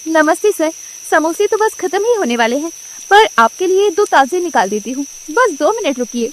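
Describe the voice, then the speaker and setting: A woman voicing a confident young woman who sells samosas and speaks calmly about her work in a storybook cartoon. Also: very high voice, moderate pace, slight background noise, pitched above the performer's own voice. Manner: calm, self-assured.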